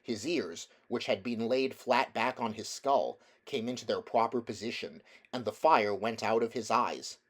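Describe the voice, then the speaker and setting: A man's voice: medium pitch, moderate pace, few pauses. A man reading a children's fantasy novel aloud as scripted storybook narration. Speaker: amateur audiobook reader.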